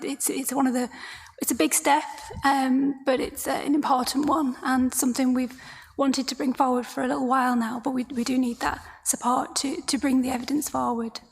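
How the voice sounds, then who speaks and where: A high-pitched, croaky woman presents a report to a council meeting, pausing often and restarting her sentences. Her voice is gravelly and husky, and it rings with the long reverb of a large hall. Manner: hesitant, many fillers and restarts, explanatory.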